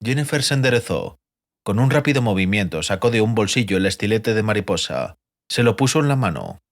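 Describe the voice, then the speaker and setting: Male narrator for a fantasy audiobook, lowish pitch, scripted storytelling delivery. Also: very animated, brisk.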